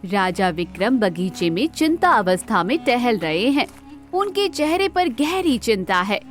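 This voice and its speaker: A woman reads scripted storybook narration. Her voice sits a bit high and moves at a slow, measured pace. Light music plays behind her. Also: sing-song.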